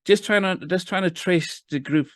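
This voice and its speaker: Male host, smooth clear voice, dry sound, stumbling eager questions, video call. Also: sits high, a bit bright, dry recording. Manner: halting, many restarts and fillers, eager. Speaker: interview host. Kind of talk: casual video interview.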